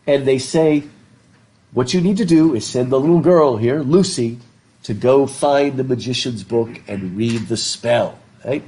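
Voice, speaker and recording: A middle-aged man lectures a classroom in front of a blackboard, his mellow voice thick from a stuffed up nose. He jokes, laughs and asks the students questions as he goes. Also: mid-range voice, a little slow. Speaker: professor. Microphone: laptop webcam.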